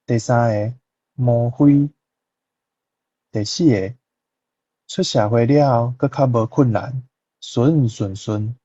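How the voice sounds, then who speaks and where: A man with a husky voice and Taiwanese accent, reading translations out deliberately as a language teacher. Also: mid-range voice, mellow, dry recording, lo-fi sound.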